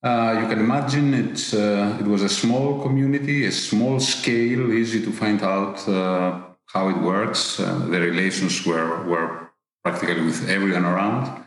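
A middle-aged Greek man with a Greek accent, an executive answering interview questions haltingly, with many fillers and repeated words. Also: lowish voice, steady tone, very echoey. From Greece. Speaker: business executive guest.

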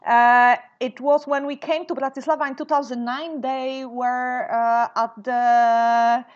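A Polish woman in her thirties, a guest speaking in an interview, often pausing on fillers and restarting her sentences. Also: on the high side, flat delivery. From Poland. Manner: hesitant, many fillers and restarts. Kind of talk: interview conversation. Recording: dull lo-fi sound.

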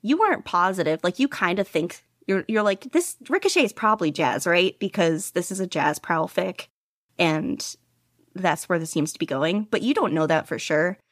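Woman, podcast host, rambling, enthusiastic, low-ish voice, dry sound. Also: expressive, normal speed, no room sound. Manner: enthusiastic, rambling, lots of fillers and laughs. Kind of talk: casual fan chat.

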